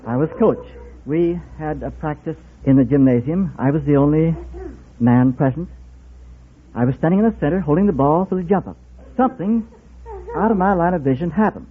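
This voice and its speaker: A man's voice: on the high side, animated, moderate pace. A man answering a host's questions as a quiz show contestant. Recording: old radio broadcast, narrow band, audience noise. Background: live studio audience.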